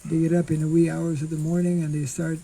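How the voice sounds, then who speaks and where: An older monk giving an informal scripture class outdoors into a stand mic, speaking slowly and haltingly and clearing his throat often. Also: fairly high pitch, little pitch movement, warm tone, low-quality recording. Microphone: stand mic in front.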